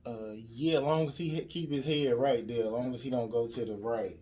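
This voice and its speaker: A man's voice: mid-range voice, steady tone, moderate pace, dark tone. A man hosting a podcast, asking blunt, offhand questions in slangy talk. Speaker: podcast host. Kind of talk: casual interview chat. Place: echoey podcast room. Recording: echoey room, distant mic.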